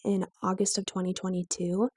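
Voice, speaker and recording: A woman's voice: mid-range voice, little pitch movement, a little fast, darkish tone, breathy edge, no echo, studio quality. A woman in her twenties with a weak, strained, breaking voice, telling her own story to camera in a candid, lightly self-mocking way. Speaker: vlogger.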